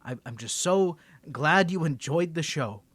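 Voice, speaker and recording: A man's voice: on the high side, animated, measured pace, a bit bright, slightly rough, no room sound, exaggerated voice. A man voice acting an ASMR roleplay as a cocky young superhero with a secret identity, stammering and teasing as he swings between confidence and nerves. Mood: startled, flustered.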